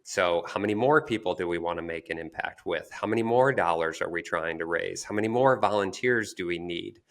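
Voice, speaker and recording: A man co-hosting a podcast, explaining conversationally in a measured way, sometimes searching for words. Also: low voice. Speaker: podcast co-host.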